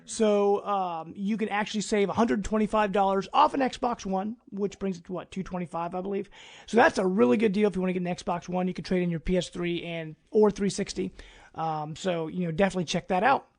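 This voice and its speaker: A male podcast host with a notably high voice and plenty of vocal fry. He presents gaming news casually and conversationally, as informal talk between co-hosts. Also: brisk.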